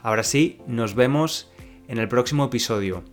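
A young man from Spain with a Spanish accent, hosting a podcast lesson for learners and speaking slowly and clearly like a teacher. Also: mid-range voice, soft background music. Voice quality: slow, clear teacher speech.

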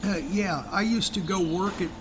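A middle-aged American man chatting casually as a podcast co-host, reminiscing in salty, slangy talk. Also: normal speed. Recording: clearly noisy, rumbling background.

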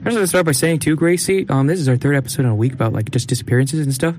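A man's voice: mid-range voice, very fast, a little mellow, a little hiss or hum. A young man co-hosting a podcast, rambling and joking, with frequent laughs, on a studio mic in a small room. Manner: rambling, jokey, laughs often. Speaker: podcast co-host. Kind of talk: casual chat.

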